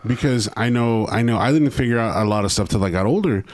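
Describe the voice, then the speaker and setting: A man's voice: medium pitch, quick pace, a little mellow, no echo. An American man in his thirties chatting casually as a podcast host, acting out little quoted asides as he explains.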